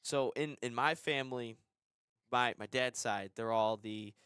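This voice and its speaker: A man puts a question to a guest, halting and hesitant as he gets it out. His voice sounds smooth and dry. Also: medium pitch, a bit bright, no room sound.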